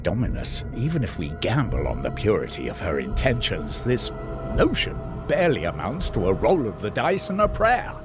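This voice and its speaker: Male far-future knight for game cinematic: low voice, grave, commanding, duty-bound, with music underneath. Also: huge pitch swings, moderate pace. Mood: sceptical, challenging. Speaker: warrior. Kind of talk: video game cinematic dramatic acting.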